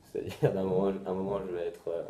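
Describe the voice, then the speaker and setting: A nineteen-year-old man from France introducing himself, hesitant and thoughtful, with many pauses and fillers. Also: deep voice, level tone, warm tone, reverberant, a little hiss or hum. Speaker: interviewee. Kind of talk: casual self-introduction.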